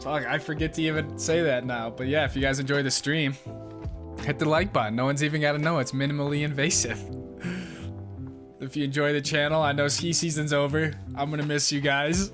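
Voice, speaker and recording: A man's voice: fairly high pitch, fairly even intonation, brisk, over music. Laid-back young man chatting on stream. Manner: laid-back, laughs often, swears casually. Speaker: livestream host reading chat. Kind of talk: casual live stream chat.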